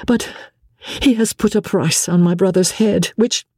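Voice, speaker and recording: A man voicing a distraught young noblewoman who pleads tearfully, halting and repeating herself. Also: high-pitched, warm tone, slightly rough, dry recording, pitched above the performer's own voice. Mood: pleading.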